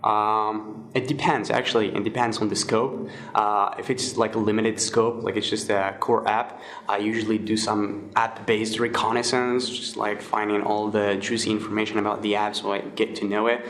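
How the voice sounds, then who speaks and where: Low, flat-voiced young man. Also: normal speed. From Morocco. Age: 20s. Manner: relaxed, many fillers and restarts. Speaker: guest, security researcher. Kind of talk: casual interview answers.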